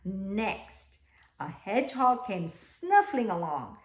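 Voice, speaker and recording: A woman reading a children's picture book aloud expressively, often pausing to ask her young listeners questions. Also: medium pitch, big pitch swings. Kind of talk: children's read-aloud, alternating slow reading with asides about the pictures. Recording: echoey, roomy sound.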